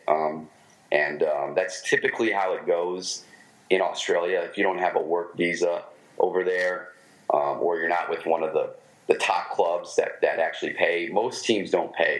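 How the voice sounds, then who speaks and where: A man with a Michigan American accent talks in a notably low voice. He is a former professional baseball player looking back on his career in a relaxed interview, and he often pauses, restarts and fills gaps with "um.". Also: low-quality recording. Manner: halting, many fillers and restarts. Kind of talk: casual interview storytelling.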